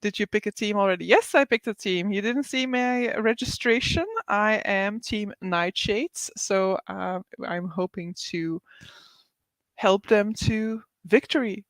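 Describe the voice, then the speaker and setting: A woman's voice: medium pitch, unhurried, no echo. A woman hosting a casual livestream reading sprint, reading viewer comments aloud, chatting with frequent laughs, likes and ums.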